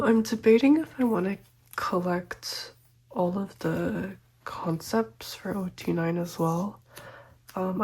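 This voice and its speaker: A young woman who is slightly sick and congested, talking gently and often half-whispering through a collecting vlog, with frequent ums and small laughs. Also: medium pitch, a little hiss or hum, poor audio. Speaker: photocard collecting vlogger.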